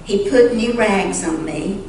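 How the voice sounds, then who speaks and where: A woman reading a story aloud in church in a solemn, dramatic style, with deliberate phrasing and pauses. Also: mid-range voice, darkish tone. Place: large church sanctuary. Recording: echoey church hall, distant mic, faint noise.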